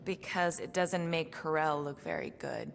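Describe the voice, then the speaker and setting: An actress playing a guarded, serious female assassin in a video game, speaking in a measured, dry, deliberate way. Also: deep voice, vocal fry, in a large hall, slight background noise. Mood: grave. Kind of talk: dramatic video game acting.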